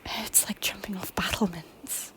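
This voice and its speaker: A woman playing a soft-spoken stranger at a harbour who often half-whispers her lines in an amateur audio drama. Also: mid-range voice, fairly even intonation, measured pace, natural voice, low-quality recording. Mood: hushed.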